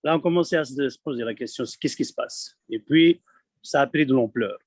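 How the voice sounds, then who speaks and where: A man from Kabylie speaking abroad, giving an urgent, halting testimony full of repeated words. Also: medium pitch, slightly rough, dry recording. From Kabylie, Algeria. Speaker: community member abroad. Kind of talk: impassioned testimony.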